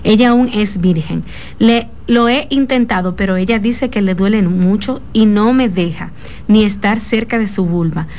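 Female talk show host, chatty, reads listener mail aloud, average pitch, light background noise. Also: moderate pace, darkish tone. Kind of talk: reading a listener letter on air.

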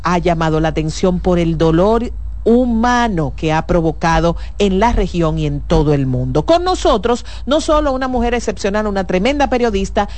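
A woman's voice: medium pitch, lively intonation, moderate pace, a little mellow. A woman hosting a studio talk show, introducing and encouraging her guest in an affectionate, emphatic way.

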